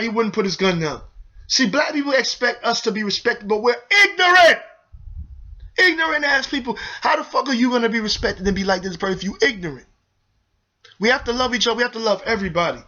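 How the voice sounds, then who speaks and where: Fast-talking, forceful man ranting. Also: extremely high pitch, lively intonation. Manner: heated, preachy, repetitive, addresses viewers as y'all. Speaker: video host. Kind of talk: impassioned vlog rant to viewers. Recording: dull lo-fi sound.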